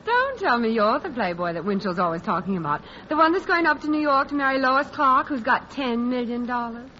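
A woman's voice: fairly high pitch, animated, a little fast, bright, forceful tone. Witty, lilting 1940s radio leading lady. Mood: teasing, skeptical. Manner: playful, teasing, wry. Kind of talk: 1940s radio romantic comedy acting. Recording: muffled, noisy old radio broadcast.

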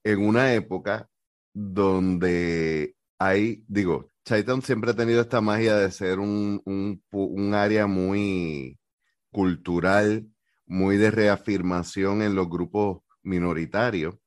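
Low-voiced host. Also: male voice, steady tone, slow, no echo, clean studio sound. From Puerto Rico. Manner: thoughtful, long framing questions. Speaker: podcast host. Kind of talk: interview.

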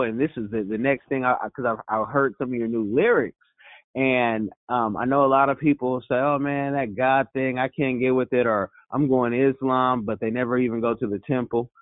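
A male host speaks earnestly, pausing and restarting as he builds his question. The sound is dry and close, squeezed thin like a phone call. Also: mid-range voice, fairly even intonation, dry recording. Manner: earnest, halting, many fillers. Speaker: interview host. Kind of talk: conversational interview.

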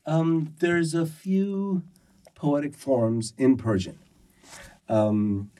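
Thoughtful soft-spoken man, set back from mic. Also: fairly high pitch, steady tone, extremely slow, recorded from a distance. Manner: thoughtful, hesitant, many fillers and restarts. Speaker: poet and translator, interview guest. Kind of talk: relaxed conversational interview.

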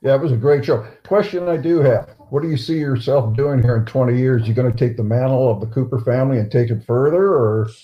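A man's voice: mid-range voice, fast talker, few pauses. Man calling in on lo-fi audio. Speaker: caller. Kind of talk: casual chat.

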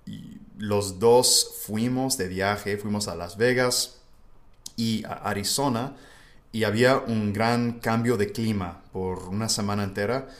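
A man from the United States, a native English speaker, hosting a casual language-learning podcast. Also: on the low side. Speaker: podcast host. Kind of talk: casual educational podcast conversation.

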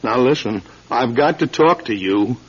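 An actor playing an anguished, desperate man in a radio drama, confessing to his wife in halting, repeated phrases. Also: medium pitch, lively intonation, husky. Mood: urgent, pressing. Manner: halting, repeating words as if distraught. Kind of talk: dramatic radio play acting. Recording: dull lo-fi radio recording, music behind.

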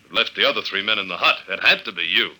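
A man's voice: fairly low pitch, lively intonation, racing, sharp tone, heavily acted, low-quality recording. An actor playing a tough, wry detective agent who narrates his case in clipped slang, in the style of an old-time radio drama. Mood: confident, explaining. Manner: clipped, slangy, hard-boiled. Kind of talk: old-time radio drama acting, hard-boiled first-person narration. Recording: vintage radio drama recording.

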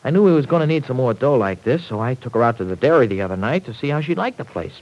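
Actor as a brash slangy young man, lively pitch. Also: fast, natural voice, low-quality recording. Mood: rueful, casual. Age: young adult. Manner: slangy, ungrammatical folksy talk. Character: brash, slangy young rancher, big-hearted and cocky. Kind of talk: old-time radio serial acting.

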